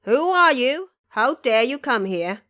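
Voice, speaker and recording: A woman voicing a stern male ghost guard who challenges intruders loudly in an audio drama. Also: high-pitched, big pitch swings, a little slow, dry recording, voice pushed up, full character voice.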